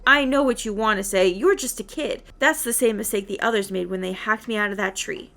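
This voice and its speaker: A woman's voice: mid-range voice, fairly quick, vocal fry, a bit bright. A woman voicing a mock-innocent, taunting chaos villain who poses as a little girl in a fantasy game.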